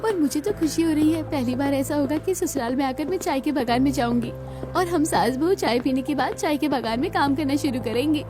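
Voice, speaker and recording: Sweet, eager young daughter-in-law acted by a woman, high voice, cartoon dialogue with music underneath. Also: brisk, close to an everyday voice. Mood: happy, eager. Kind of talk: scripted cartoon story dialogue. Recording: music behind the voice.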